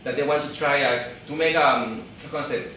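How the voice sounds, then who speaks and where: A man from Peru in his thirties, speaking English with a Peruvian Spanish accent, gives a live talk to an audience. He speaks haltingly, often repeating and restarting words. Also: mid-range voice, sharp tone, very echoey, recorded from a distance, slight background noise, low-quality recording. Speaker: event speaker. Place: event hall.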